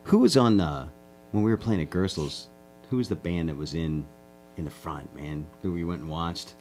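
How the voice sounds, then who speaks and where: A man's voice: deep voice, normal speed, darkish tone, a little hiss or hum. A middle-aged man, a guitarist chatting casually and joking in a radio interview. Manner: easygoing, joking, rambling. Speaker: guitarist in a duo.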